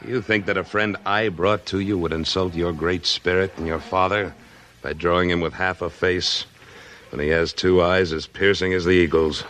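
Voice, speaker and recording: An American actor playing a steady, commanding frontier hero in a 1950s radio western, firm and deliberate. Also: male voice, deep voice. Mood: stern, persuasive. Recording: old radio recording with slight noise.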